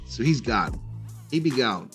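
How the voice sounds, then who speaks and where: A man's voice: medium pitch, big pitch swings, unhurried. Casual male sports stream host over music. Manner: informal, chatty, greeting viewers by name. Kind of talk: casual live pregame commentary.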